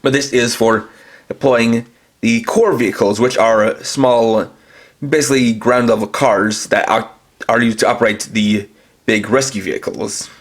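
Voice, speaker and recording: Man calmly explaining a toy, step by step. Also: medium pitch, a little slow. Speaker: toy reviewer. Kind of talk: toy review demonstration.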